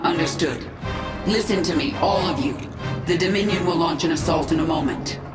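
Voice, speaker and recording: A woman's voice: lowish voice, moderate pace, reverberant, over loud music, lightly acted. An actress playing a vengeful, commanding alien swarm queen in a video game, speaking with grave authority. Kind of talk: dramatic video game acting.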